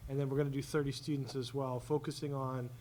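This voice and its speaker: A man's voice: mid-range voice, flat delivery, fast talker, darkish tone. A man speaking as a school administrator, giving an enthusiastic informal report at a public meeting. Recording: noisy public meeting room recording.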